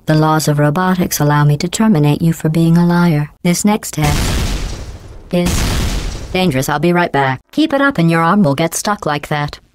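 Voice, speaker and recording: A woman voicing a cold, sarcastic female AI in a game, with a robotic processed sound and a deadpan, mocking, passive-aggressive delivery. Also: sits low. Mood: sly, confiding. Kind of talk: video game voice acting.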